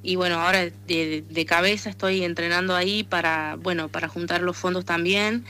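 A woman's voice: fairly low pitch, fairly even intonation, normal speed, pushed and bright, clear, resonant tone. A woman with a Tucumán accent from northern Argentina, answering as an athlete in a conversational radio interview. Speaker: interviewed athlete, arm wrestler and association president.

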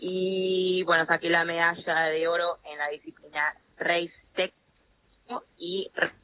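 A young woman answering interview questions over a phone call, halting and hesitant with many fillers. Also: fairly low pitch, flat delivery. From United States. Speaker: athlete guest. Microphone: phone call over messaging app. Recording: tinny phone line, faint noise.

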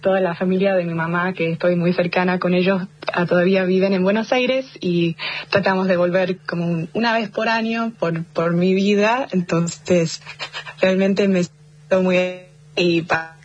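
A young woman in her twenties, from Argentina but raised in the United States, speaks Spanish with an American tinge in an upbeat, halting way, often restarting her phrases during a phone interview. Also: on the low side, fairly even intonation, slight background noise. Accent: Argentine Spanish with an American English tinge. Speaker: athlete guest. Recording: dull lo-fi phone line.

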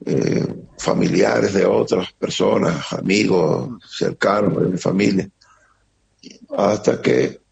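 A middle-aged man with a Venezuelan accent giving an earnest, halting account as an interviewee, often repeating words. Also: low voice, steady tone, gravelly, a little mellow. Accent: Venezuelan Spanish. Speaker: interviewee, security operator. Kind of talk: emotional testimony on a remote interview. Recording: muffled lo-fi line with faint noise.

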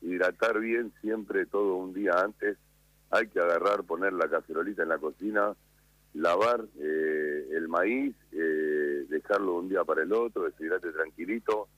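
Deep-voiced Argentine chef on radio. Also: male voice, dry recording, lo-fi sound. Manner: calm, unhurried, explanatory. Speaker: chef guest. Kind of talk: radio interview by phone.

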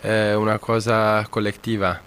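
Halting Italian man, low voice. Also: level tone, a bit bright, slight background noise. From Italy. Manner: halting, many pauses and fillers. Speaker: guest historian. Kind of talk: radio interview.

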